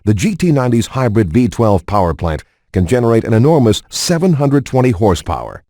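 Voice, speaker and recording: Man with a lively voice-over delivery. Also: mid-range voice, a little fast, no room sound, clean studio sound. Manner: formal promotional voice-over. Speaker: game narrator. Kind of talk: scripted narration. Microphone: studio voice-over.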